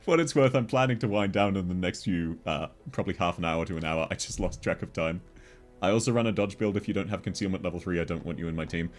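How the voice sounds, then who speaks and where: A man's voice: low-pitched, fast talker, faint music behind. A man with an Australian accent, chatting casually with viewers on a game livestream, laughing often as he answers chat. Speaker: game streamer.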